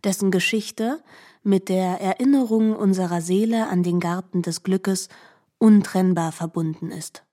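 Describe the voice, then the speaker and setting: A woman narrating scripted literary prose for radio in a measured, formal storytelling manner. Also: medium pitch, warm tone.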